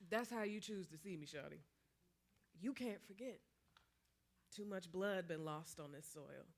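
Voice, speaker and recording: A woman's voice: on the low side, measured pace. A woman playing a knowing, taunting spirit in a staged play reading, with an American accent and slangy, admonishing delivery. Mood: stern, knowing. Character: knowing, taunting bloodstained female spirit, streetwise and unyielding.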